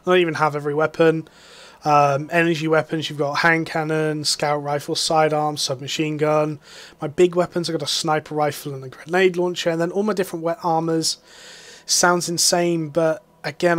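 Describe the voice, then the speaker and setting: A man's voice: on the high side, a bit bright, no echo. A young man giving rambling, enthusiastic casual commentary while playing a game. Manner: rambling, filler-heavy, enthusiastic. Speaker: gaming YouTuber.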